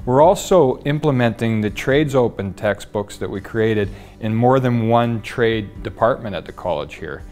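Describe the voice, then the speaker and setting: A man's voice: medium pitch, animated, unhurried, few pauses, darkish tone. A man in his fifties, a trades instructor, speaking steadily and explaining things in a studio interview on a lapel mic. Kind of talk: testimonial interview. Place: studio with dark backdrop. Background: faint guitar music.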